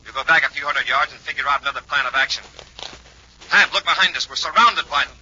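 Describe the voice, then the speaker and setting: A man's voice: fairly high pitch, fast, sharp tone, highs cut off, a little hiss or hum. A man playing a decisive, heroic space patrol commander in a 1950s radio adventure, commanding and clipped, on an old recording. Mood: tense. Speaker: radio actor.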